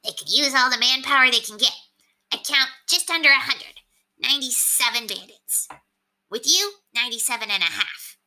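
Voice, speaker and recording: Lady doing a cynical little boy rat from a storybook, chatty, high and bright, grumbles a lot. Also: animated, normal speed, heavily acted, rough recording. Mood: wry. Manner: wisecracking, grumbling, informal.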